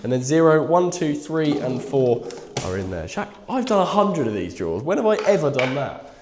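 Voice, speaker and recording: A croaky, bunged-up man hosting a live prize draw in chatty, casual talk. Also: on the high side, big pitch swings, normal speed, echoey room, background noise. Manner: chatty, reads numbers aloud. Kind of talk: casual live-stream chat.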